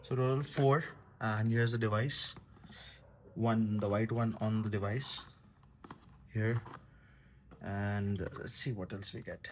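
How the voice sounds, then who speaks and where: A man giving casual, halting commentary as a vlogger unboxing a product. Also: on the low side, steady tone, slight background noise.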